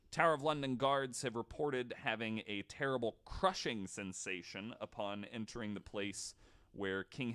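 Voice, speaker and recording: A man with an average-pitched voice hosts a podcast in a relaxed, conversational style. He tells stories informally and drops in wry, joking asides as he goes. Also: clear, resonant tone. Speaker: podcast host. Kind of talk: casual storytelling with jokes.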